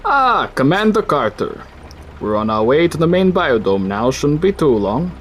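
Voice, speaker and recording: A man's voice: on the high side, big pitch swings, normal speed, fairly bright tone, slight background noise. A man playing an overly polite sinister coordinator. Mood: friendly. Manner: courteous, apologetic, menacing. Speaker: coordinator of a recycling facility. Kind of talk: audio drama acting.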